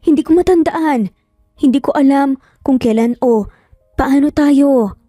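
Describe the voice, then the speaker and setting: A woman's voice: high voice, a little slow, mellow, no echo, clean studio sound, soft background music, voice pushed up. A woman voicing an anxious, bewildered, tender young mother in a dramatic audio story. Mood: distressed confusion.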